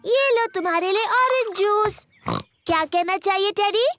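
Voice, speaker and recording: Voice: very high-pitched, moderate pace, over loud music. A performer voicing a chatty, dramatic little girl piglet in a children's cartoon dub. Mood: playful, bossy.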